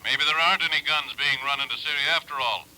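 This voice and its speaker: An actor in an old radio drama playing a brisk, matter-of-fact intelligence chief heard through a telephone-style filter. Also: male voice, on the high side, pushed and bright, poor audio. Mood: businesslike. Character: gruff, businesslike male intelligence chief.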